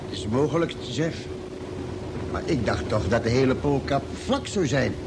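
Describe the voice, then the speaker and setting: An actor playing a thoughtful, cautious doctor on a space expedition in an old radio drama. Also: male voice, lively intonation, normal speed, natural voice. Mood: pensive, doubtful. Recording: noisy old radio drama, vehicle rumble.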